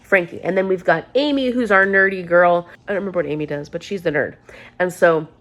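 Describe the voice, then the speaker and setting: A chatty, upbeat woman with a fairly low voice, talking casually to her camera in a rambling way. She sounds a little congested, with a sore throat. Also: brisk, a bit bright. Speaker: book vlogger.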